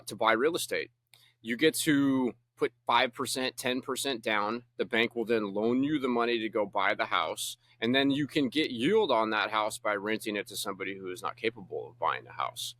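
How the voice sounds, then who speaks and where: Bright, dry-voiced American man explaining. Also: medium pitch, no echo. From United States. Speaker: podcast guest. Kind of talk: conversational interview answers.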